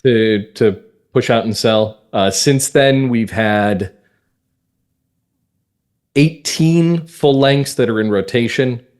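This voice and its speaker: A man's voice: medium pitch, slow pace, pauses often, a little mellow, clean tone. A middle-aged man talking at length in a conversational interview, explaining things as a guest. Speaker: guest, mystery playwright and script consultant.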